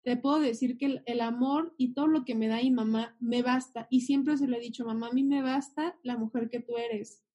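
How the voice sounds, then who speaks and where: Earnest young woman, high voice. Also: steady tone, moderate pace, hardly any pauses, warm tone. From Mexico. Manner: earnest, heartfelt storytelling. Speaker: guest. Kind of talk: personal faith testimony.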